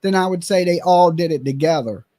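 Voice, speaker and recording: Blunt, opinionated high-voiced man. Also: fairly quick, warm tone, no echo. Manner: blunt, opinionated, swears casually. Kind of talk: casual chat.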